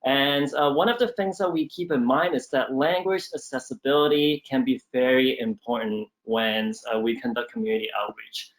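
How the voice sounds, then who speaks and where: A young man from Southern California, a government analyst, answering interview questions in a measured way with frequent ums and uhs. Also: mid-range voice, rough recording. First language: Cantonese. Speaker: management analyst at a regional government council.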